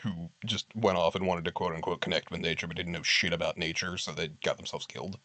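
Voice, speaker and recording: Low-voiced man chatting dry and close. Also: fairly even intonation, fast, pushed and bright, dry recording. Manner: candid, wry, reads list items aloud and comments. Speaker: streamer. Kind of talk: casual chat with viewers. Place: home streaming setup.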